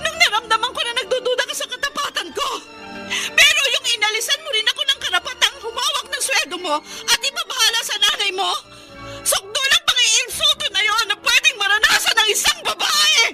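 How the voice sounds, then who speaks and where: A woman's voice: very high voice, animated, music in the background. An actress in a melodramatic radio drama playing a young wife who is hurt, emotional and defiant about leaving her husband. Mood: tearful fury. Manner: emotional, fast when upset, pleading.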